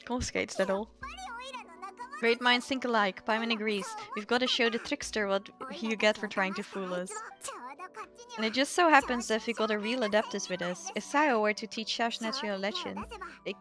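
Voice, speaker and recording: A woman's voice: on the high side, normal speed, music in the background, natural voice. A woman voicing a small, chatty, eager fairy companion from a video game, who talks about herself by name. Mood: eager, bubbly. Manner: chirpy, refers to herself by name. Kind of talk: video game dialogue read aloud.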